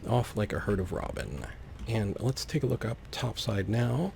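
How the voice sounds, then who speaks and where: A man narrates his gameplay in a low, gravelly voice. He takes his time, thinking aloud with whimsical little asides. There is faint background noise behind him. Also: lively intonation, normal speed, mellow. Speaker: let's player, host.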